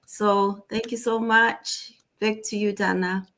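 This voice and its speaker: Zimbabwean-accented woman introducing herself, proud, mid pitch. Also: flat delivery, takes it slowly, a bit bright. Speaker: guest panelist. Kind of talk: casual live-stream introduction.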